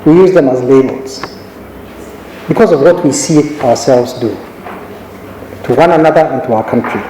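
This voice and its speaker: A man's voice: mid-range voice, mellow, slight background noise. Middle-aged man speaking in an echoey hall. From Kenya. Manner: measured, anecdotal, with frequent restarts. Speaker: judge giving a conference talk. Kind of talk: conference speech. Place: large conference hall.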